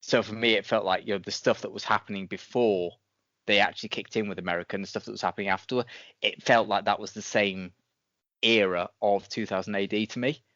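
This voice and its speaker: A man's voice: fairly low pitch, fairly even intonation, brisk, a bit bright, no room sound, lo-fi sound. A man co-hosting a podcast in a casual, conversational way, circling and rephrasing his points. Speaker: podcast co-host. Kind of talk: casual review chat.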